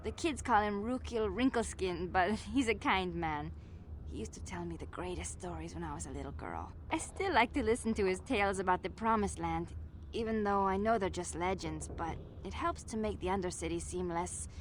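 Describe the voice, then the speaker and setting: A woman's voice: mid-range voice, moderate pace, a little hiss or hum, close to an everyday voice. A woman voicing a wistful, kindly young slum villager in a video game, speaking earnestly. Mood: wistful, tender. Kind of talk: video game dialogue acting.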